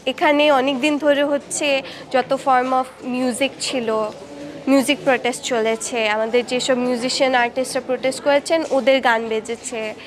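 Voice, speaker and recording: A young female artist explains things casually in an interview. She has a high voice and a Bangladeshi Bengali accent, with English words mixed in. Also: steady tone, normal speed, crowd noise.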